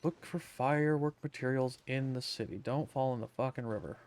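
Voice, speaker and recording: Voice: mid-range voice, brisk, a little mellow. Wry, sweary game streamer. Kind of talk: casual game playthrough commentary.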